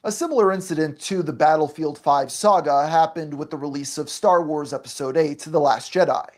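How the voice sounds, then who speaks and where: A man reading scripted documentary narration in a measured, even way. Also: on the high side.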